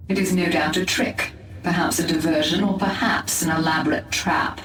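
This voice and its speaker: A woman's voice: lowish voice, fairly even intonation, moderate pace, a little hiss or hum, close to an everyday voice. A woman voicing a solemn, commanding elf-like alien war leader in a video game, with formal, grave speech. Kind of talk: video game cutscene acting. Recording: echoey, distant, under loud music.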